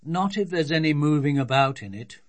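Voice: mid-range voice, animated, normal speed, lightly acted. An adult storybook reader voicing a gloomy, grumpy father who sounds weary. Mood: grumpy, resigned. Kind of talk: children's storybook reading.

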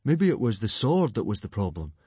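A man's voice: on the low side, big pitch swings, fairly quick, warm tone, husky, dry recording. Man voicing a wry, sarcastic young Viking boy. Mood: kindly. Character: dry, sarcastic Viking boy, loyal underdog friend. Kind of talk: children's audiobook character acting.

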